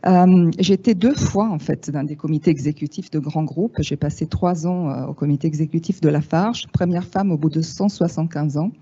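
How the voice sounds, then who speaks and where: Italian woman, 50s, addressing an audience, lively and candid, warm low-lit timbre, lo-fi sound. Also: moderate pace, few pauses, breathy edge. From Italy. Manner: lively, frank, wry asides. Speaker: speaker at a panel. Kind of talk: talk to an audience. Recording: dull lo-fi sound.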